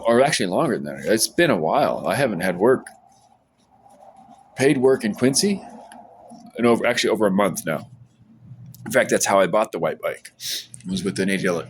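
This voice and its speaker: A man's voice: on the low side, slight background noise. A man recording a casual morning vlog outdoors beside a supermarket, talking in a rambling, conversational way with frequent restarts. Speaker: vlogger.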